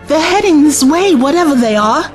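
A woman acting a frightened survivor in an audio drama, panicky and very high-pitched. Her voice swings sharply, with faint music behind her. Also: lively intonation, moderate pace. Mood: alarmed. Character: frightened young woman among soldiers, panicky.

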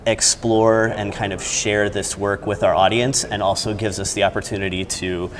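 A man in his thirties with an American accent and a fairly low voice. He explains things informally as an interview guest, pausing as he goes. Noticeable ambient noise from a large indoor lobby sits behind him. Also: little pitch movement. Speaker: theater company staff member interviewed as guest. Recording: clear background noise, busy lobby ambience.

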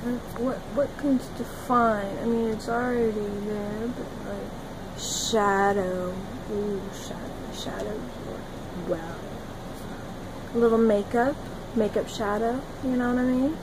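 A young woman talking to her phone camera in a small room, rambling dreamily and giggling, jumping between loud bursts and soft murmurs. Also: on the high side, fairly even intonation, slow pace, background noise. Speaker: vlogger. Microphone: phone camera at arm's length.

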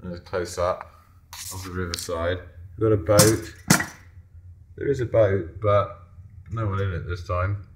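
A man's voice: sits low, unhurried, pauses often, echoey room, noisy background. A man casually narrating a walkthrough of a mural he painted, in an office lunchroom, speaking offhand into a handheld camera. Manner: relaxed, offhand, pointing things out. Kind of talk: casual narrated video tour.

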